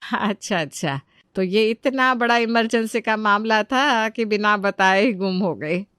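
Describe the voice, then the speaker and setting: Woman doing a nagging middle-aged saas, sarcastic n exasperated, sharp bright voice, very dry studio sound, comedy story. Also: medium pitch, measured pace, smooth, dry recording, lightly acted. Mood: sarcastic scolding. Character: exasperated middle-aged mother-in-law, sarcastic, loud, scolding. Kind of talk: animated family comedy story acting.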